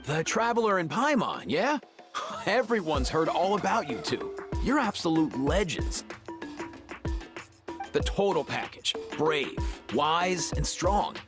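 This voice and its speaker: A man's voice: fairly high pitch, very animated, takes it slowly, music in the background. A man playing a laid-back, friendly young veterinarian in a video game, talking in breezy dude slang. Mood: upbeat.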